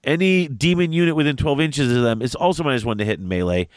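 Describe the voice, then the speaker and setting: A man's voice: medium pitch, fast talker, no room sound, clean studio sound. A congested man co-hosting a casual tabletop gaming chat, reading rules aloud in eager bursts. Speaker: podcast co-host.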